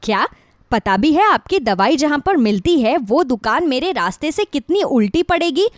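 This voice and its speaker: A woman's voice: high-pitched, sing-song, moderate pace, no room sound, clean studio sound. A woman playing a selfish, lazy, blunt college-age daughter in a moral story. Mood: irritated. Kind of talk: acted dialogue in a moral story.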